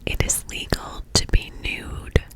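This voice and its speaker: Canadian woman whispering asmr right up on the mic, breathy, slow and drawn out. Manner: close breathy whisper with mouth sounds, lively in pitch. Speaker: ASMR creator. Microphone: close-up mic.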